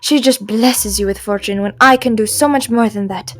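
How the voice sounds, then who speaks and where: A woman's voice: fairly high pitch, moderate pace, faint music behind, close to an everyday voice. A woman voicing a sassy, spiteful and insecure male god of wishes in a fantasy audio roleplay, theatrical, indignant and whiny.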